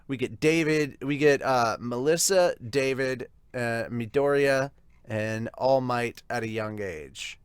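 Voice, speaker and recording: An excitable man hosts a podcast and chats through a manga chapter. His voice sits at an ordinary pitch and he jumps up when something grabs him. The sound is dry and close to the mic. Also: takes it slowly, smooth, no echo. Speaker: podcast host. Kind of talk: manga read-along and review.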